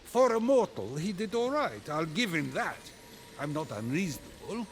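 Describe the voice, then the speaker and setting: A man's voice: fairly high pitch, sing-song, moderate pace, a little hiss or hum. A man playing a brash, irreverent Greek god in a video game, flippant and childish with casual, slangy delivery. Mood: grudging, defensive. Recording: music behind the voice.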